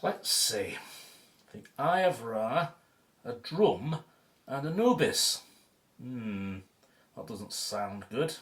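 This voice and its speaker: An actor in an audio drama playing an excitable, flippant man on an archaeology team, chatty and quick to exclaim. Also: mid-range voice, full character voice. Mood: uneasy.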